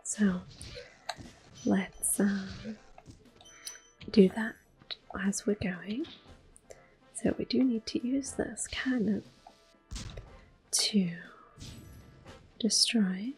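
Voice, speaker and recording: A woman giving gentle, soft-spoken ASMR gameplay commentary in a breathy whisper. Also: medium pitch, steady tone, low-quality recording. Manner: gentle, unhurried. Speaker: gameplay host. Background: soft game music.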